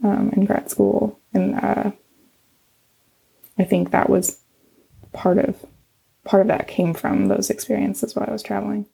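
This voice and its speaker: A woman's voice: mid-range voice, level tone, unhurried, gravelly, pauses often, warm tone, husky, poor audio. A middle-aged woman, a scientist guest whose first language was Chinese, answering in casual conversation, hesitant with many ums and restarts.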